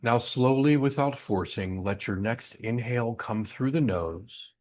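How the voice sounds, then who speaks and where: A man guiding a breathing meditation in a gentle, unhurried way, leaving long pauses between short instructions. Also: mid-range voice. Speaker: meditation guide.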